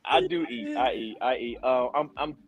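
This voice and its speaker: A man's voice: mid-range voice, moderate pace, dull audio, a crowd in the background. A man in his forties, a podcast guest, chatting casually and telling stories with many fillers and repeated words. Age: 40s. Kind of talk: casual chat.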